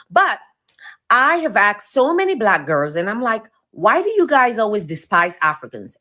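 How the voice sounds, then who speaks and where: A woman's voice: medium pitch, fairly bright tone. A woman from Sierra Leone with a thick Sierra Leonean accent, frankly and exasperatedly telling about her experiences. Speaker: video clip speaker. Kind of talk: personal testimony.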